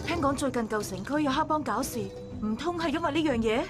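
A woman's voice: sits high, lively intonation, fast, over music. A woman playing a concerned, bossy busybody dock worker in a visual novel, with a Hong Kong Cantonese accent. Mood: alarmed.

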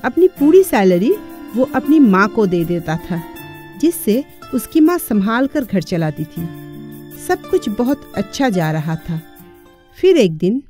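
A woman's voice: medium pitch, big pitch swings, takes it slowly, dark tone, faint music behind. A woman narrator delivering scripted narration for a moral story.

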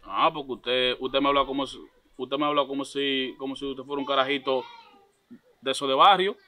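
Insistent Dominican man with a bright voice. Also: mid-range voice, fairly even intonation, a little fast. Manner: insistent, repetitive, confrontational. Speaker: prank caller. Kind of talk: prank phone call posing as a bailiff. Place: outdoors by a block wall.

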